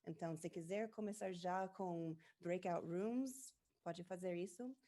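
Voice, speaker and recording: A woman's voice: on the low side, measured pace, warm tone. A woman from the United States with an American accent, a native English speaker leading a live online workshop. She switches between Portuguese and English and often hesitates while searching for words. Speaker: workshop presenter.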